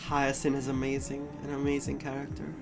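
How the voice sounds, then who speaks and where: A woman streamer giving casual, emotionally invested live commentary on a story game, halting and repeating herself as she goes. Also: very deep voice, completely flat delivery, creaky, over music.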